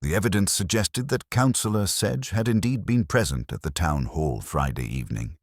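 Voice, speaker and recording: A man narrating a scripted detective mystery in a formal, measured storytelling manner. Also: low voice, expressive, dry recording, clean studio sound.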